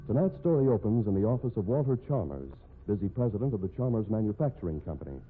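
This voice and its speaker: A man with an American accent doing theatrical, measured 1950s radio announcing from a script. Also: on the low side, big pitch swings. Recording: tinny old radio with music behind.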